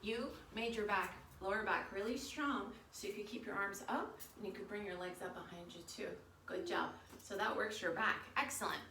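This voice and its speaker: A woman's voice: medium pitch, normal speed, hardly any pauses, bright, forceful tone. A woman leading a children's yoga storytime, giving simple, encouraging instructions to young children. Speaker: kids' yoga and storytime teacher. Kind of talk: guided children's yoga lesson. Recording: roomy, distant mic, faint background noise.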